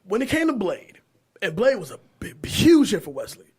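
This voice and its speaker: A man co-hosting a podcast, chatting casually in slangy, animated talk and repeating phrases for emphasis. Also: high voice, fairly quick, husky. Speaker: podcast co-host. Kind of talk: casual pop-culture chat.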